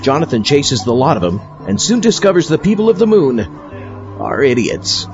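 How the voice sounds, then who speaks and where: A man's voice: mid-range voice, wildly swooping pitch, normal speed, darkish tone. A man hosting an audio show with scripted comic narration. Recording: dull sound under clear music.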